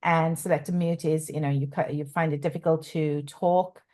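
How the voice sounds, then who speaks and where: A middle-aged woman from South Africa, a coach and author, talking in a casual interview with many pauses and restarts. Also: sits low, steady tone, mellow. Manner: halting, many ums and restarts, laughs often.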